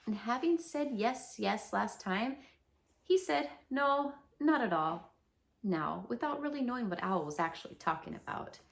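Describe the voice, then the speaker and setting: Expressive woman reading a storybook aloud. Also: a little high, normal speed, vocal fry. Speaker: read-aloud narrator.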